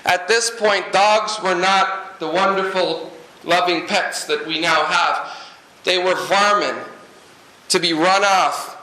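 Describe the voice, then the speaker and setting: A man from Guyana preaching a sermon in a lively, humorous way, with jokes and asides to the congregation. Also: sits high, slow pace, reverberant, low-quality recording. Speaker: pastor.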